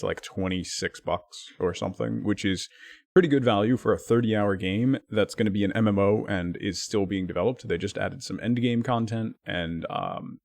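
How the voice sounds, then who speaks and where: Low-ish gravelly guy streaming, casual chat w/ viewers, dry mic. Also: no room sound. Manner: chatty, thanks viewers by name, lively swings in pitch.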